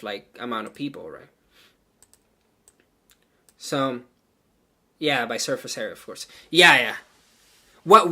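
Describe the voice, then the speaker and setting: Bright, chatty man coding live. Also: on the high side. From Venezuela. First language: Spanish. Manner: chatty and loose, full of "like" and "um", thinks aloud while coding. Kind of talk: casual live coding stream, chatting with viewers.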